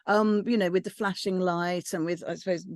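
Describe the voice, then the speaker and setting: A woman's voice: fairly low pitch, steady tone, moderate pace, darkish tone. A woman on a reading panel giving casual, tentative feedback, circling round her phrases. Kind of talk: casual discussion.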